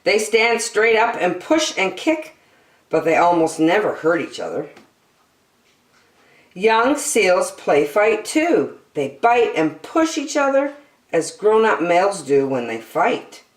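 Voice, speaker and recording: A woman's voice: mid-range voice, big pitch swings, slow pace, echoey room. A woman hosting story time, reading a picture book aloud to young children expressively, with chatty asides and questions.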